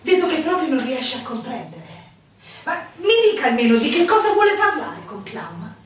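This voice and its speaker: A proud, imperious innkeeper lectures with condescension in a theatrical radio drama. Her voice is fairly high. It sounds distant in a very reverberant space, with music behind. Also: sing-song, moderate pace. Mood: stern, warning. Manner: imperious, admonishing, formal. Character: stern, condescending innkeeper woman, protective and proud. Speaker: stage actor in a radio play.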